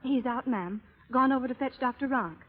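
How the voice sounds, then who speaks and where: A woman's voice: sits high, normal speed, slightly rough, narrow, tinny audio. A woman playing a deferential housemaid in an old radio drama. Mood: dutiful. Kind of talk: 1930s radio drama acting. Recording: thin, noisy old radio broadcast.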